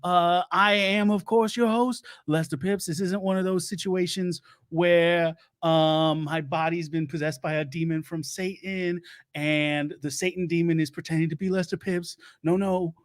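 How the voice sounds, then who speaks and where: A man's voice: sits high, fairly even intonation, a little slow. A man playing a bumbling self-proclaimed doomsday-prep lecturer who hosts a comedy show, with a put-on Louisiana accent, rambling and stumbling over his words. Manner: rambling, stumbling, laughs at own jokes. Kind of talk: improvised comic monologue. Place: home studio.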